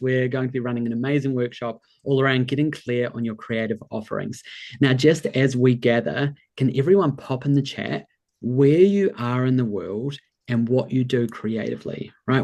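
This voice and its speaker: A man with a New Zealand accent hosts a live online workshop, his voice sitting at an ordinary middle pitch. He sounds enthusiastic and encouraging, warmly greeting people and reading their messages aloud over a video call. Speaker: workshop host and business coach. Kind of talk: upbeat webinar hosting.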